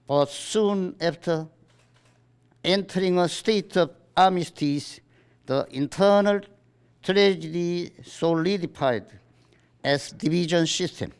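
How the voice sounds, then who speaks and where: A man from South Korea with a Korean accent, a native Korean speaker, formally reading out a statement in halting, deliberate short phrases. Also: a little high, creaky, darkish tone, clear, resonant tone. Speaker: writers' association chairman giving a statement. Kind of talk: formal read-aloud statement. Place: press conference in a book salon.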